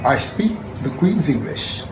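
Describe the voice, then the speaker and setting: A woman chatting casually in a lively, laughing way. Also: deep voice, measured pace, echoey room, over music. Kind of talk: casual conversation. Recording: loud background noise.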